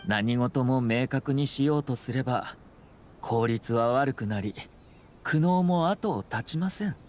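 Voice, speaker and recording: A man voicing a composed young nobleman in an anime game, speaking in a measured, formal, polite way over faint background ambience. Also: mid-range voice, a little mellow, a little hiss or hum, lightly acted. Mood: calm, reflective. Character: composed, courteous young nobleman and clan commissioner.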